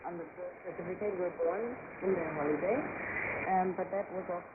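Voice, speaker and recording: A woman's voice: lowish voice, steady tone, brisk, narrow, tinny audio. A woman in her twenties from Germany, a native German speaker, speaking hesitantly in an interview. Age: 20s. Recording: noisy band-limited shortwave radio broadcast.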